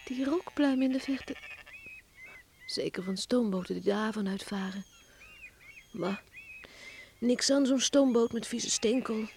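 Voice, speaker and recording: Casting: young country girl in radio drama, chatty and dreamy, high voice, slow delivery. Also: lively intonation, a little mellow, a little hiss or hum. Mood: wistful, musing. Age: young adult. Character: young island farm girl, cheerful, wistful, chatty. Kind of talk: Dutch radio play acting.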